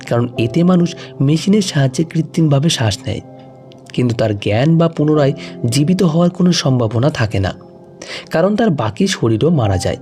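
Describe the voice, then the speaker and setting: A man's voice: medium pitch, warm tone. A man reading a scripted emotional story as an audio narrator. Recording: music under the voice. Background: background music.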